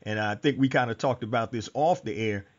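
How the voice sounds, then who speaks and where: A man's voice: mid-range voice, brisk, lo-fi sound. A middle-aged man hosting a business podcast, easygoing and upbeat as he hypes up his listeners and interviews a guest. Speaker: podcast host.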